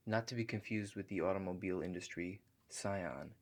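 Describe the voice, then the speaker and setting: A man doing a softly spoken ASMR roleplay as a calm relaxation agent, hushed and halting, with gentle check-in questions. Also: low-pitched. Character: calm, awkward house-call relaxation agent. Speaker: ASMR performer.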